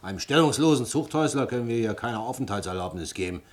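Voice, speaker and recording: An actor in a 1950s German radio play playing a stern, short-tempered official, curt, officious and lecturing. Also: male voice, medium pitch, lively intonation, fast talker, close to an everyday voice. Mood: dismissive, lecturing. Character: stern, dismissive police bureaucrat, short-tempered.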